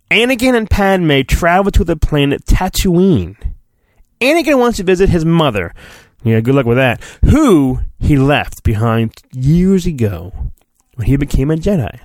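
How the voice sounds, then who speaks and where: A man giving a mock-dramatic storybook reading, theatrical in delivery, dropping into goofy, deadpan, sarcastic asides. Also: a little high, no echo, studio quality. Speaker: podcast host.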